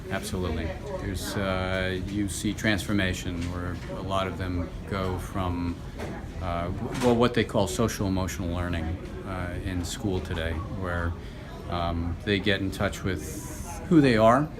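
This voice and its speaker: A middle-aged man being interviewed as a drama teacher, explaining his work informally in halting phrases with many pauses. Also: sits low, a crowd in the background. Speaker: radio theater teacher and actor being interviewed. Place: room at a senior center. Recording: very noisy room ambience.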